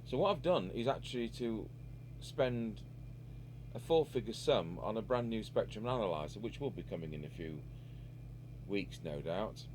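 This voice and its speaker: A man with a fairly low voice talks through a hands-on repair job. There is some background noise and hum behind him. He speaks off the cuff, thinking aloud as he works. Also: animated, normal speed, a little mellow. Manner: unscripted, musing aloud while working. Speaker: radio repair hobbyist and video presenter. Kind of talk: casual hands-on repair demonstration.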